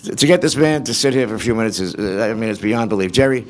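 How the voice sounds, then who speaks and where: A man's voice: lowish voice, lively intonation, fast. A man hosting a live panel on stage, introducing and questioning guests in a casual style. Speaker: panel host. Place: convention hall stage before an audience.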